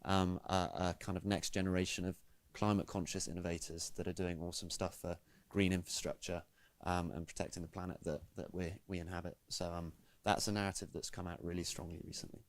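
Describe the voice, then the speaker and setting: A man's voice: low voice, level tone, brisk. A man from the United Kingdom, a panel guest who talks enthusiastically and fills his speech with many ums. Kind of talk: panel comment.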